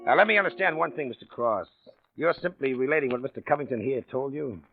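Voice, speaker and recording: An actor playing a shrewd police captain in a 1940s radio mystery, questioning in a dryly ironic, insinuating way. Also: male voice, mid-range voice, expressive, fast, vocal fry, soft background music. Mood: skeptical. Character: shrewd, wry, probing male police captain. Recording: dull old radio recording.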